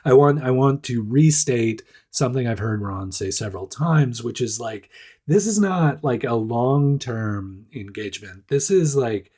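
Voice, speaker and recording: A man hosting a casual startup news rundown, hoarse and stuffed up with a nasal voice, chatty and self-deprecating. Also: mid-range voice, lively intonation, unhurried, warm tone.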